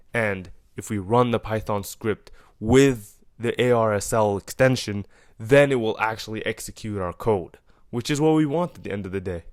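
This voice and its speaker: A man's voice: lowish voice, dry recording, clean studio sound. A young man with an Egyptian accent, casually walking through a coding project he built, with fillers and self-corrections. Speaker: programmer explaining his project.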